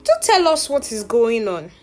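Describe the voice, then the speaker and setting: A woman's voice: a little high, very animated, normal speed, faint music behind. A woman voicing a smug, suspicious older mother-in-law in a story, sweetly mocking.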